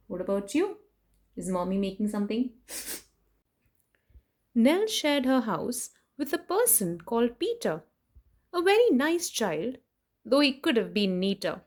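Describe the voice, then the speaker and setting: A woman doing an expressive children's picture book read-aloud, playful in her emphasis, with chatty asides to her young listeners. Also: medium pitch. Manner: animated, sing-song rhyme reading with playful emphasis. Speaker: children's story reader and host.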